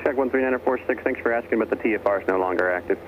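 A man's voice: medium pitch, racing. A man working as an air traffic controller, giving clipped procedural instructions over aviation radio. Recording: narrowband aviation radio with engine noise. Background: airplane engine noise.

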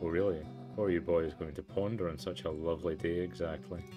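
A man's voice: low-pitched, steady tone, brisk, close to an everyday voice. An elderly grandmother deer in a storybook game, played by a man. She is dry, stern and suspicious. Faint music plays underneath.

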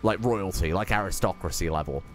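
A man's voice: sits low, fairly quick, soft background music. A British man co-hosting a casual chat while playing a game, teasing and swearing playfully. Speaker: co-host. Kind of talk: casual chat over gameplay.

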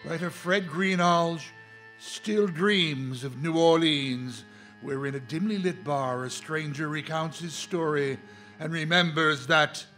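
A man hosts a live horror radio show with theatrical flair. His voice is fairly high and very slow, drawing out each dramatic phrase over music. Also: pushed and bright. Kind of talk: theatrical scripted introduction.

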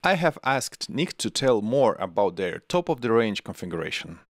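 A middle-aged man presents scripted tech video narration in a fairly low voice. His intonation swings in an animated way, and the close studio microphone gives a dry sound. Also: moderate pace, dry recording. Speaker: tech channel host. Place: small home studio room. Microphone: close desk microphone on arm.

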